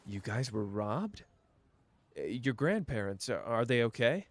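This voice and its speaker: A man doing a slow, flirtatious charmer. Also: medium pitch, very animated, dry recording.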